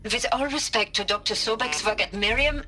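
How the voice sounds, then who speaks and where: An actress playing a female scientist in a video game, speaking in a measured, firm and direct way. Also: on the high side, close to an everyday voice. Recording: game audio with loud music.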